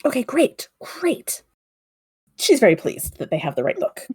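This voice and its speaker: A woman's voice: on the high side, wildly swooping pitch, moderate pace, a little mellow, husky, no echo, studio quality. A woman casually describing her character's actions as a player in a tabletop game.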